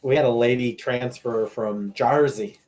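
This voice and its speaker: Laid-back guest from Colorado. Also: male voice, mid-range voice, measured pace, a little mellow. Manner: laid-back, swears casually, chuckles at stories. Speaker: podcast guest, streamer. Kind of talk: casual chat.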